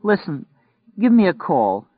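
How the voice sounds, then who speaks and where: A man voicing a strident young woman from the Bronx with a New York accent, shrill and whiny, in a slightly dull lo-fi recording. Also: very animated, measured pace, no echo, heavily acted. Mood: pleading.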